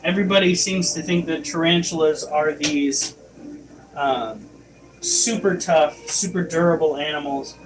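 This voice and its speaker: Guy on a stream, kinda high voice, talks slow and chatty, explains stuff and answers chat. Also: over music, rough recording. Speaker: livestream host and pet keeper. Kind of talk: casual livestream chat.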